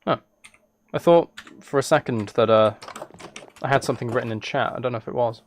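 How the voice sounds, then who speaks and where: A man's voice: mid-range voice, fairly quick. Man rambling casually over keyboard clicks and noise. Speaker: live streamer. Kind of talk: casual chat with game commentary. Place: indoors at a computer. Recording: clear background noise, keyboard and mouse clicks.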